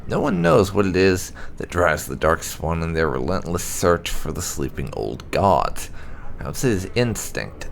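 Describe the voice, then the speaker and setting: A man reading game lore aloud as scripted narration, in a measured, dramatic storytelling way. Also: deep voice, creaky, a little hiss or hum. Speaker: gaming video host. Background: background music.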